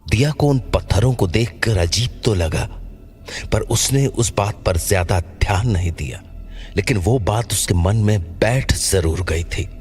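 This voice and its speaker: Deep, slow male horror narrator. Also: lively intonation, darkish tone, breathy edge, soft background music. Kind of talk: scripted horror story narration.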